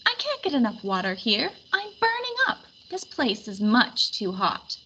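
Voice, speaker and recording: A woman's voice: fairly high pitch, wildly swooping pitch, normal speed, sharp tone, husky, highs cut off, full character voice. A woman voicing a fussy, determined little female daisy in a storybook, complaining in an emphatic, particular way.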